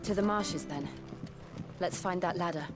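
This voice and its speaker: A woman's voice: lowish voice, normal speed, over loud music, close to an everyday voice. An actress playing a composed, courteous young noble lady in a fantasy video game, polite and well-spoken. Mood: concerned, purposeful.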